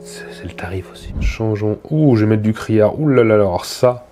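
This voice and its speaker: A man's voice: on the low side, lively intonation, normal speed, mellow. A man with a head cold giving a casual unboxing review, chatty and self-mocking. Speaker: tech reviewer. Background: faint music from the speaker being tested.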